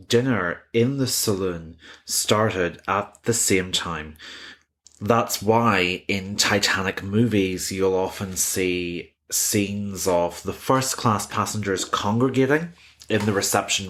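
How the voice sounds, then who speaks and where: A man hosting a history podcast, reading scripted narration with measured, wry conversational asides. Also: sits low, fairly bright tone. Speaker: history podcast host and author.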